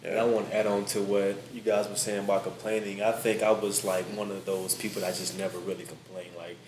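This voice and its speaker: A man's voice: lowish voice, level tone, fairly quick, a little mellow. Male podcast speaker, plain-spoken and matter-of-fact, roomy recording with faint background noise. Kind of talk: casual chat.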